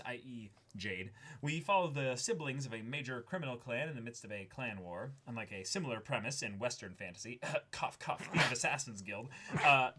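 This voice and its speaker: A man's voice: medium pitch, bright, forceful tone. A young man co-hosting an unboxing show, reading a letter aloud with casual asides and now and then stumbling and correcting himself. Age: young adult. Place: small indoor room, seated before a backdrop.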